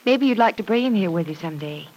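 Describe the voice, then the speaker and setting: A woman's voice: mid-range voice, expressive, fast, close to an everyday voice, poor audio. Woman acting kindly, reassuring lady of the house. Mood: sympathetic. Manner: gentle, reassuring. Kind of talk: classic radio drama acting.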